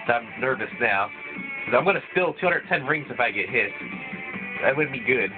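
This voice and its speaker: Male let's-player, rambling, a bit stuffy from a cold; mid-pitched, lo-fi tinny recording. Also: expressive, normal speed. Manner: rambling, with frequent restarts. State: voice thickened by a cold. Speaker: let's play gamer. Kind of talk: casual gameplay commentary. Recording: tinny, phone-like lo-fi mic. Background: video game music playing.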